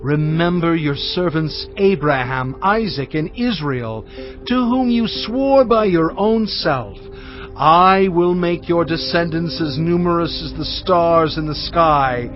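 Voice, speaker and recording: A man's voice: on the high side, slow pace. An actor playing an earnest male prophet who pleads in an impassioned, beseeching way in a dramatized scripture reading. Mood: pleading. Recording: dull band-limited sound, faint music.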